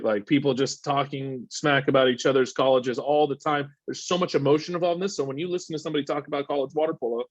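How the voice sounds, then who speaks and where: Fast-talking man with filler-heavy delivery. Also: mid-range voice, dry recording. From Egypt. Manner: rapid, energetic, peppered with "uh" and "right?". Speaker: water polo executive director giving a recruiting webinar. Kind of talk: fast, informal presentation with frequent fillers. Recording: dry, slightly dull webinar audio.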